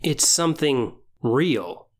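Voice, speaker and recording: A podcast host speaks alone in a conversational, explanatory manner. The voice sits at a middle pitch, suited to walking listeners through tabletop role-playing ideas. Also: big pitch swings, slow.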